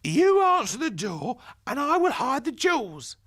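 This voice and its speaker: A man voicing a nervous young boy in a storybook, with a squeaky, stammering voice. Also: huge pitch swings, measured pace, fairly bright tone, heavily acted. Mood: panicked.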